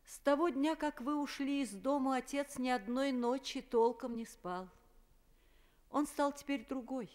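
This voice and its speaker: A woman's voice: high voice, unhurried, a little mellow. An actress playing a worried, tender mother writing to her sons. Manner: tender, pleading.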